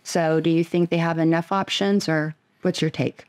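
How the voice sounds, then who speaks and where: A woman's voice: low voice, fairly even intonation, normal speed, darkish tone. A woman travel vlogger chatting casually with her husband, rating restaurants and leading with upbeat questions. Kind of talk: casual restaurant review chat.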